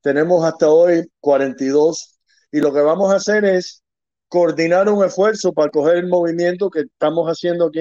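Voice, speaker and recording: A man's voice: a little high, mellow, no room sound. A middle-aged man from Quebec raised in Miami, a child rescue investigator talking casually as an interview guest, rambling and mixing in English words. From Quebec, Canada, raised in Miami. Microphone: phone or call line. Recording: dull lo-fi sound.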